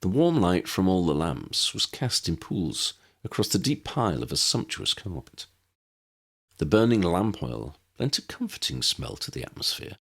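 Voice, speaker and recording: A man reads fiction aloud as a narrator. His voice is deep and husky, and his pitch swings widely as he tells the tale. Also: sing-song, moderate pace, a bit bright. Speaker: audiobook narrator.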